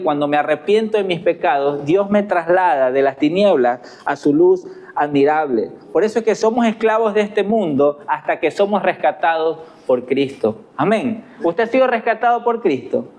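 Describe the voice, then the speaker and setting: A man with an Ecuadorian accent delivers an earnest sermon from the pulpit. His voice sits high for a man, and he leans on rhetorical questions to his listeners. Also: lively intonation, moderate pace, a little mellow. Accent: Ecuadorian Spanish. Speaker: pastor.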